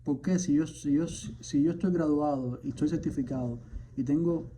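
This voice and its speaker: A man, a physician, delivering an impassioned spoken message, indignant and firing off rhetorical questions, at times surging louder. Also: on the high side, moderate pace. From Cuba. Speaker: physician, rheumatologist. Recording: dull lo-fi sound with faint background noise.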